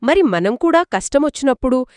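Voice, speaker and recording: A woman's voice: high voice, fairly quick, no echo. Woman telling children's stories, dry sound. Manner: expressive, addresses child listeners directly. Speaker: co-narrator of a children's folktale. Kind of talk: conversational two-person storytelling for children.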